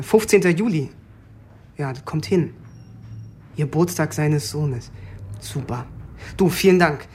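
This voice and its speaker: Actor as higher-voiced, offhand police detective. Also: male voice, a little hiss or hum, natural voice. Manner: offhand, colloquial. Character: brisk, informal, dogged police detective. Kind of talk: naturalistic radio drama dialogue.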